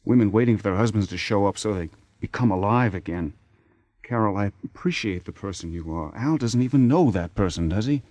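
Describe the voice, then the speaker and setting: An actor in a radio drama playing a persistent, impatient theater man, smooth-talking and sardonic as he presses his case. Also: lowish voice, lively intonation, a little fast, darkish tone, dry recording, close to an everyday voice. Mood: coaxing. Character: persistent, persuasive theater man seducing a married woman, impatient. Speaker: lead actor.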